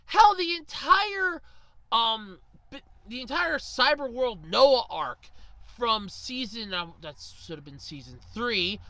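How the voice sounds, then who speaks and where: High, animated, exasperated woman ranting. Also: slow pace, dry recording. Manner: exasperated, sarcastic, emphatic. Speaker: video ranter. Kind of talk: opinionated rant to viewers.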